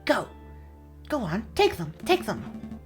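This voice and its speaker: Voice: mid-range voice, huge pitch swings, takes it slowly, faint music behind, voice pushed up. A performer voicing a flustered mouse messenger in a game, hesitant and pleading, repeating words nervously. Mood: urgent.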